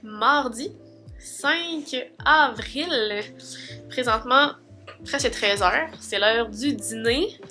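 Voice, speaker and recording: Young Québécoise vlogger, stuffy cold, rambling casual diary talk, faint music under. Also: female voice, a little high, drawn-out words. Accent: Québécois French. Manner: chatty, many fillers like "fait que" and "tu sais". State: congested from a cold.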